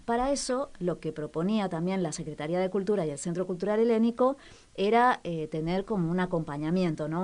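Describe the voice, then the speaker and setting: A woman's voice: fairly low pitch, a little mellow. A woman co-hosting a cultural radio show in a casual, conversational way, searching for words and repeating for emphasis. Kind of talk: casual spoken radio segment.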